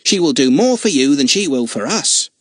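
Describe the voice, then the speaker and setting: Brief: man playing a sincere circus dad, husky, slightly raised. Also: a little high, sing-song, moderate pace, dry recording, clean studio sound. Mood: earnest. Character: grateful circus father.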